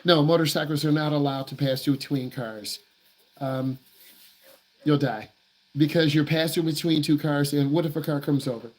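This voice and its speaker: A man's voice: on the high side, fairly even intonation, normal speed, a little mellow. A man who works as a driving instructor answers viewer questions on a live stream in a blunt, emphatic way. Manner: blunt, emphatic, repeats himself for stress.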